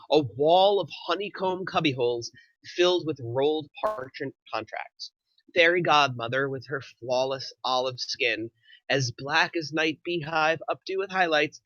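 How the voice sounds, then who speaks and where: A man's voice: fairly high pitch, pushed and bright, dry recording. A man reading scripted stage directions as narrator of a screenplay, in lo-fi sound.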